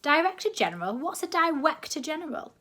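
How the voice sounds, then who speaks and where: A woman voicing a bossy, half-deaf old grandmother who barks and shouts her scolding lines. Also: medium pitch, expressive, normal speed. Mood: barking.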